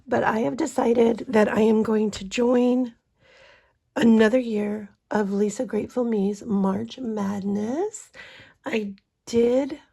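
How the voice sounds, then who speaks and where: A woman chats casually as host of her budgeting channel. Her voice is stuffy from a cold, with a gravelly, creaky edge and a mellow, dark tone. She rambles along with frequent ums. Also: fairly high pitch, fairly even intonation, takes it slowly. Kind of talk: casual vlog chat.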